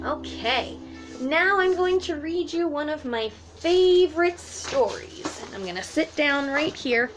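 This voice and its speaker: High-voiced woman reading slowly to children. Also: slight background noise. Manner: expressive, simple explanations addressed to young children. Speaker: children's music teacher. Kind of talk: children's storytime read-aloud. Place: small room at home, indoors.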